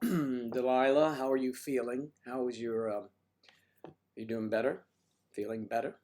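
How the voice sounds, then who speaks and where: Hoarse older man joking with viewers. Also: mid-range voice, fairly even intonation, measured pace. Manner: teasing and flirty, often drops to a soft mutter. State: hoarse, losing his voice. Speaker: livestream host. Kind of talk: casual livestream chat with viewers.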